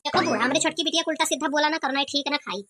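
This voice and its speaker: A woman's voice: high-pitched, racing, bright, forceful tone. A woman voicing a self-important, scheming village headman and father in a cartoon, with an Awadhi accent. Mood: indignant, self-important. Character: bossy, scheming village headman, older father of two daughters. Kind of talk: cartoon comedy voice acting.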